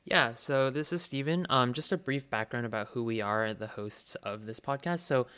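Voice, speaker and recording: Smooth-voiced young man co-hosting. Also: medium pitch, normal speed. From Colorado. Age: 20s. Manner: relaxed, many ums. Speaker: podcast co-host. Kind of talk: casual podcast chat, partly read. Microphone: remote home mic.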